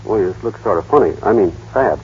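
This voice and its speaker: A man's voice: lowish voice, expressive, quick pace, darkish tone, slightly rough. An actor playing a shy, naive small-town American man in a vintage radio drama, hesitant, boyish and plainspoken. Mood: frank, gentle. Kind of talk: 1930s-40s radio drama acting. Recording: dull, muffled old radio broadcast.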